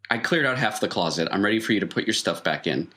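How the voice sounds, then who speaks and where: A man's voice: on the low side, lively intonation, fast, sharp tone. A man voicing a lovestruck, insistent ex-girlfriend in a tabletop game, pleading earnestly and clingily.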